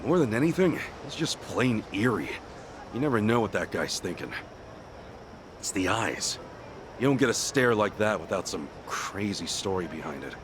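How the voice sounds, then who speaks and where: Brash, lively game hero. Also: male voice, lowish voice, normal speed, noisy background. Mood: determined. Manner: blunt, casual, cocky. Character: brash, loyal, hot-blooded male hero in an action game. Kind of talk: video game voice acting.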